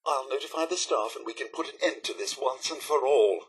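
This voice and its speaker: Prim, fussy robot butler. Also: male voice, mid-range voice, brisk, a bit bright, slightly rough. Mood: alarmed, worried. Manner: prim, formal, mannered butler diction. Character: polite, fussy, anxious male robot butler. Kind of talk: scripted video game character dialogue.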